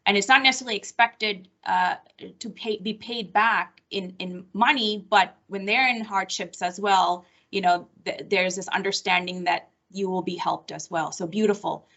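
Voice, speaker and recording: A woman's voice: mid-range voice, normal speed, a bit bright, muffled recording. A woman of Sri Lankan background moderating a roundtable, affirming each guest and calling on the next. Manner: affirming, introduces speakers.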